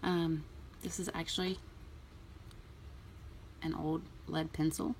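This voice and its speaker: A woman in a relaxed, chatty way explaining a nail art tutorial, with plenty of ums. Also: low-pitched, takes it slowly, a little hiss or hum. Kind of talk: casual tutorial talk.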